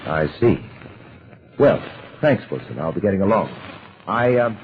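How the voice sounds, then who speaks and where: An actor playing a cool, commanding gentleman adventurer in an old-time radio drama, brisk and polite. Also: on the low side, lively intonation. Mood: composed, dry. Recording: noisy, phone-like old radio recording.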